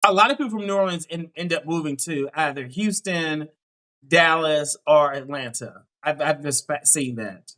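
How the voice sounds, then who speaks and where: Pittsburgh guy hosting a dating show, high bright voice, upbeat, lots of okay okay. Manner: upbeat, quick questions with frequent affirmations. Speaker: dating coach host. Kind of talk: live dating show interview.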